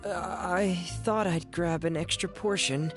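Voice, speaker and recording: A man playing a shy, gentle teenage boy in a video game, speaking in a timid, halting way. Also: high-pitched, steady tone, music in the background. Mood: evasive, nervous.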